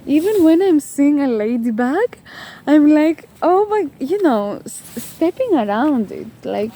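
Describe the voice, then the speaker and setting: Slow, high-voiced Greek woman. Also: very animated, warm tone. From Greece. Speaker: spiritual life coach. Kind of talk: casual motivational vlog talk. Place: outdoors, walking in nature.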